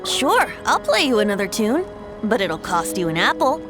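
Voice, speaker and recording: A woman's voice: on the high side, very animated, moderate pace. A woman voicing a cheeky, exuberant, mischievous young adventurer in a video game. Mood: playful. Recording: loud music and battle effects.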